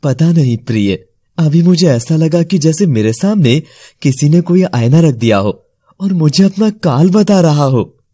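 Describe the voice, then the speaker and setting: A man voicing a troubled, dramatic nobleman in an animated fairy tale, with a slightly husky voice. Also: fairly high pitch, unhurried, no room sound. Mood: uneasy.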